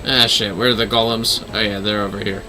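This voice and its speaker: Bright-voiced man streaming a video game. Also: fairly low pitch, little pitch movement, brisk. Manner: easygoing swearing, talks to himself. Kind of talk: casual live gameplay commentary. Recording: music behind the voice.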